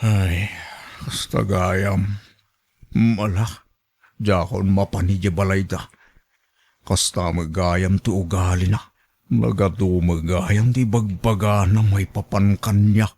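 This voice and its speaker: An actor with an Ilocano accent playing a gullible, easily wounded suitor in a radio drama, with naive, drawn-out exclamations. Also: male voice, sits low, steady tone, a little mellow, exaggerated voice. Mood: surprised, hurt.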